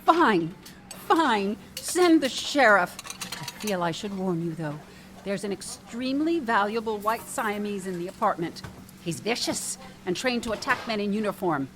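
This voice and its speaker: An actress playing a sardonic, down-on-her-luck waitress in a comedic radio drama, quick-witted, dry and exasperated. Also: medium pitch, expressive, fairly bright tone, a little hiss or hum, natural voice. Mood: indignant, defiant.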